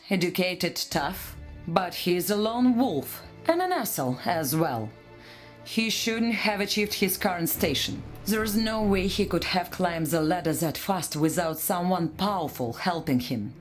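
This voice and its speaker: A woman's voice: fairly low pitch, expressive, a little slow. A woman playing a cool, watchful sniper agent in a video game. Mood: measured, critical. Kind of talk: video game dialogue and unit responses. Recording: music playing behind the voice.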